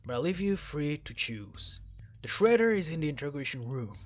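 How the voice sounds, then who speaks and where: A man voicing a sombre, apologetic male police officer in a visual novel, low, measured and subdued. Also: big pitch swings, muffled recording, faint music behind. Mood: sober.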